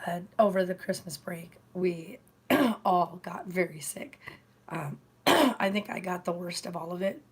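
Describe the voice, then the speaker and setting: A hoarse woman talks casually on her live stream, greeting viewers between thoughts. Her voice sits a little low and rough, and she stops often to clear her throat, filling pauses with ums and uhs. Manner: halting, many fillers, clears throat and coughs. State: hoarse after illness. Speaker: channel host streaming live.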